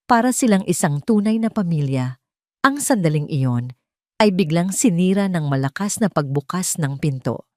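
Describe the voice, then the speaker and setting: A woman narrating a romance audio drama from a script in an expressive, theatrical way. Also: fairly low pitch, no echo, studio quality. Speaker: story narrator.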